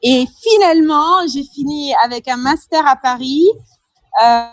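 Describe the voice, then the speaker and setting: A woman's voice: high-pitched, a little slow, faint music behind. A woman in her twenties from Madagascar, answering interview questions hesitantly, with many fillers and restarts and a few English terms slipped in. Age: 20s. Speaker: interview guest.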